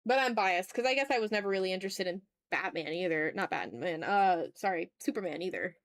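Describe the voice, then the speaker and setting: Woman streaming art, mid pitch, chatty and informal, mumbles little asides to herself, close dry sound. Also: lively intonation, a little fast, no room sound. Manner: informal, muttering asides to self. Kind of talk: casual livestream chat while drawing.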